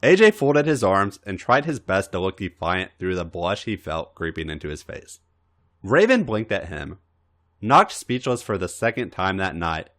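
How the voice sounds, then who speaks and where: A man reads a story aloud for a podcast. His voice sits fairly low, but his intonation swings very widely, giving the narration a highly dramatized feel. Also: very animated, moderate pace, a bit bright. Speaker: podcast host reading fan fiction.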